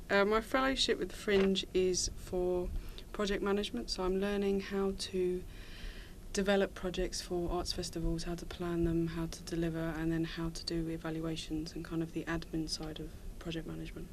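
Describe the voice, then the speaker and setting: A woman's voice: on the low side, little pitch movement, normal speed, a little hiss or hum. A thirty-year-old woman, giving an interview testimonial about her own work. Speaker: arts fellow, gallery and volunteer manager.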